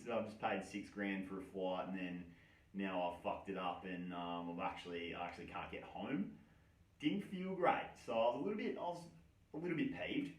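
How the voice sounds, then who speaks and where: A man tells a personal story in loose, slangy language full of swearing. His delivery is wry and self-mocking. The room is echoey and he sounds some way from the microphone. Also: low voice. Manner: heavy casual swearing, slangy. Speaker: storyteller, host of his own story series. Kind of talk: casual first-person storytelling. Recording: echoey room, distant from mic.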